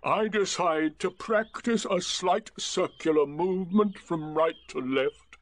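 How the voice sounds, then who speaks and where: A man voicing a gloomy, sarcastic old male donkey who speaks in dry, drawn-out remarks. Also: high-pitched, no room sound, pitched above the performer's own voice. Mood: sardonic.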